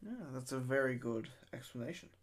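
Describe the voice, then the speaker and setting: A woman hosting a casual interview, relaxed and easygoing, giving short replies and laughing easily. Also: a bass voice, fairly quick. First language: English. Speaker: interviewer host.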